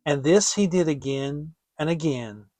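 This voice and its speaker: A man narrating a fairy tale as a dramatic reading, in a theatrical, deliberate storytelling manner. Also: on the high side, warm tone, no echo. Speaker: audiobook reader.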